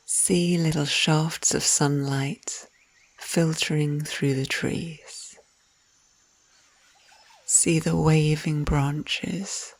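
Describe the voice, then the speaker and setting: A woman leads a guided visualisation in a low, soft voice with a creaky edge. She speaks very slowly and soothingly, giving gentle instructions and letting phrase endings turn breathy. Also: no room sound. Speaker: guided meditation narrator. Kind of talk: guided visualisation, scripted narration.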